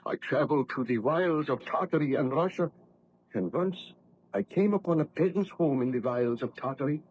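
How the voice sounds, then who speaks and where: A man acting as a haunted, vengeful baron in a vintage radio horror play. His voice sits fairly high for a man and his delivery is formal and fervent. The old recording carries steady background noise. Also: normal speed, dull audio, natural voice. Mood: grave, driven. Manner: theatrical, formal, fervent storytelling. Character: haunted, exhausted, vengeful male baron recounting his hunt. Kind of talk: 1940s radio drama acting.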